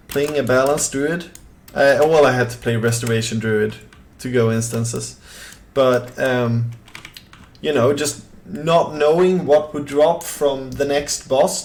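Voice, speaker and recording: Rambling Swedish-accented young man streaming. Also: mid-range voice. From southern Sweden. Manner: rambling, many fillers and restarts, easygoing. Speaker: game streamer. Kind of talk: casual chat while gaming and reading chat.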